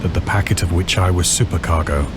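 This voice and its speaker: A man playing a grave ship officer who recounts his ordeal at sea in formal, measured narration for a video game. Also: low-pitched, loud music behind the voice, close to an everyday voice. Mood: grave, recounting. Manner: formal, literary, measured storytelling. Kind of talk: scripted dramatic narration in a visual novel.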